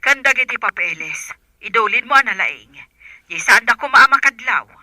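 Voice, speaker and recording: A high, very sharp-voiced aunt in a radio drama. She is resolute and urgent, handing out instructions in a dry studio sound. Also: expressive, moderate pace, dry recording. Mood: urgent, instructing. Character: resolute, commanding aunt planning a rescue. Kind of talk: Ilocano radio drama acting.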